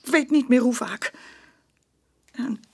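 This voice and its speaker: An actress playing a bitter, stubborn working-class mother giving a confession in a Dutch radio play, speaking colloquially and haltingly. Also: on the high side, slightly rough. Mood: agitated.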